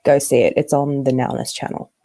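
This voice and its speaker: Woman, relaxed dark tone, casual podcast co-host, dry close recording. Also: rumbling low, fairly quick, dry recording.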